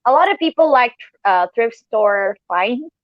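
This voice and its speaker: A woman's voice: fairly high pitch, lively intonation, measured pace, no room sound, dull audio. A woman from Malaysia chatting casually and giggly as co-host of a live stream from her living room at home.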